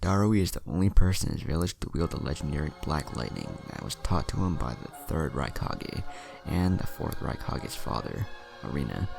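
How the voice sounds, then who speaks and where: A man with a gravelly voice narrating a scripted action story. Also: big pitch swings, moderate pace. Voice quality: very deep, gravelly. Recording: music under the narration. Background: music.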